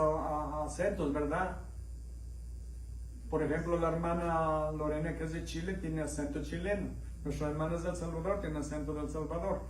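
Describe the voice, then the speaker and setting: A man's voice: fairly high pitch, darkish tone, far from the mic, slight background noise. A middle-aged Mexican man with a Mexican accent preaching a conversational sermon, telling stories and asking the congregation questions. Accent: Mexican, slightly mixed. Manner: storytelling, asks the congregation questions, acts out dialogue in raised voices. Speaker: pastor. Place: church sanctuary. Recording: echoey room, voice heard from afar.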